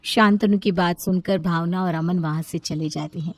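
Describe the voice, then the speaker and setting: A woman's voice: lowish voice, fairly even intonation, moderate pace. A woman storyteller reading scripted narration for a moral tale.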